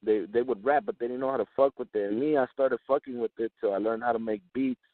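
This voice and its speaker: A man's voice: medium pitch, steady tone, fast, no room sound, phone-quality sound. A man in his twenties from Mexico, a native Spanish speaker, chatting casually and talkatively in slangy English as an interviewed rapper. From born in Chihuahua, Mexico; lives in West Texas. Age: 20s. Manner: slangy, talkative, frequent "man" and "you know what I'm saying".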